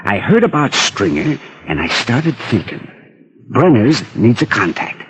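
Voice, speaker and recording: An actor playing a shifty, wary American underworld informant in a 1940s radio drama, talking in streetwise slang. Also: male voice, medium pitch, very animated, measured pace, fairly bright tone, breathy edge, heavily acted. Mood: conspiratorial. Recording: old radio recording with faint noise.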